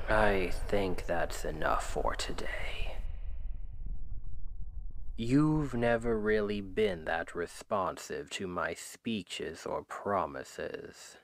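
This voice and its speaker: Slow, gravelly demon king acted by a man. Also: sits low, expressive, exaggerated voice. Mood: weary, condescending. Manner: slow, deliberate, persuasive, condescending. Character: male demon king, smooth-talking, manipulative, self-justifying captor. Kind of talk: ASMR roleplay, scripted dramatic monologue. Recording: long hall-like reverb.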